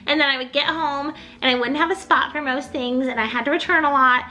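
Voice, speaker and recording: A woman's voice: sits high, steady tone, brisk, sharp tone. A young adult woman chatting in a rambling, upbeat way as a home decor vlogger, her voice a little off while she gets over being sick. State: recovering from illness, voice a little off. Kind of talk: casual chatty vlog.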